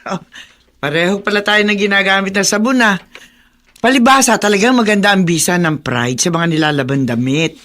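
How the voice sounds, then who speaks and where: A performer voicing a chatty middle-aged neighbor who trades news in a radio drama. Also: female voice, medium pitch, a little fast, close to an everyday voice. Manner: lively, gossipy.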